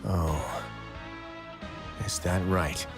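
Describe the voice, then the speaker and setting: Casting: stern, shrewd game fortress master, low voice, deliberate and authoritative, in long reverb. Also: male voice, flat delivery, heavily acted. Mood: calm, shrewd. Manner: measured, formal, deliberate. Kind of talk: video game voice acting. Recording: long reverb, music underneath.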